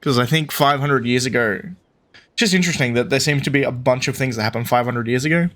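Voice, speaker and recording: A male game streamer with a mid-pitched voice gives casual commentary. He muses and thinks aloud in an informal, conversational way. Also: fast, a bit bright. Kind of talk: casual let's play commentary.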